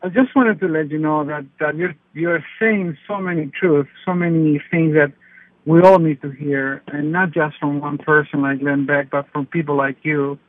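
A man from Chile calling in to a radio show on his cell phone, talking haltingly with many restarts. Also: a little high, fairly even intonation, no room sound. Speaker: caller. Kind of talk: call-in comment. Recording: tinny cell phone line.

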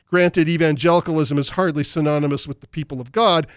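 A man's voice: a little high, fairly even intonation, fast, dry recording, clean studio sound. A man hosting a talk radio show, delivering opinionated commentary and reading listener emails aloud in an emphatic way. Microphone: studio microphone.

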